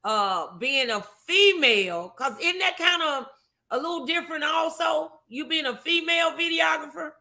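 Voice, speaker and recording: A woman's voice: high-pitched, animated, moderate pace, gravelly, bright, forceful tone. A woman hosting a casual morning show, speaking in an emphatic, motivational and folksy, familiar way. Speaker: talk show host.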